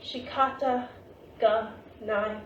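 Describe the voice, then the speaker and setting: A woman's voice: fairly high pitch, fairly even intonation, takes it slowly, dull audio. A woman acting a desperate, protective Japanese wartime mother in a staged play reading in a roomy theatre. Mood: grieving, resigned. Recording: roomy theatre, distant mic, faint noise.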